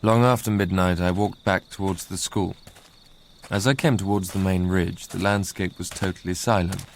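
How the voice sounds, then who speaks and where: An actor playing an educated, introspective Englishman narrates in a measured, literary way, with an English accent, in a radio drama. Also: low voice, steady tone. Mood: reflective. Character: educated Englishman recalling his romantic obsession, wistful and introspective.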